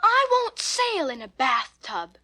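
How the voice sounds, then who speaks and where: Very high-pitched, boastful little toy tugboat. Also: male voice, big pitch swings, takes it slowly, no room sound, clean studio sound, exaggerated voice. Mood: cross, defiant. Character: cross, ambitious little red-painted toy tugboat. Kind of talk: children's storybook character voice. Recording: loud children's music behind.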